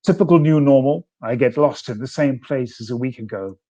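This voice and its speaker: A man reading his own poem in a measured way, pausing between phrases as he lists images. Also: mid-range voice, mellow, highs cut off. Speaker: poet. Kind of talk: poetry reading.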